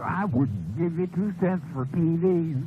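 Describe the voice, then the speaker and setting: A woman's voice: lowish voice, very animated, measured pace. An older woman phoning a radio show, chatting in a rambling, folksy way with rural turns of phrase, over a noisy, tinny telephone line. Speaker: radio phone-in caller. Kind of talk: casual personal phone chat. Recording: noisy, tinny phone call on 1970s radio.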